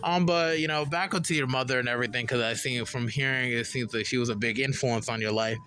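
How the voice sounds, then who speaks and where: A man's voice: mid-range voice, quick pace, sharp tone. A young man from Liberia hosting a podcast, easygoing and rambling in casual chat. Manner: easygoing, rambling, lots of fillers. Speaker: podcast host.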